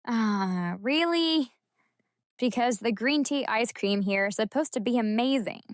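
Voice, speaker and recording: Woman playing mischievous young best friend for audiobook, chatty, teasing, fairly high voice. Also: lively intonation, a little slow, no echo, studio quality. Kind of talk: audiobook character acting.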